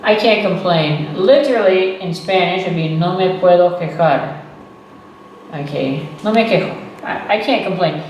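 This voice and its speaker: A man, teaching beginner English slowly and patiently, repeating phrases and checking in with "okay" and "right". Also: high-pitched. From Texas. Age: 30s. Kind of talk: slow, deliberate beginner English lesson. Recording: echoey room, voice far from the mic, faint noise.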